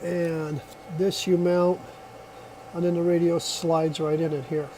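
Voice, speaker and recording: A man's voice: a little high, level tone, takes it slowly, dark tone, slight background noise, low-quality recording. A man giving a casual hands-on product demonstration, explaining step by step in a plainspoken way. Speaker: product reviewer.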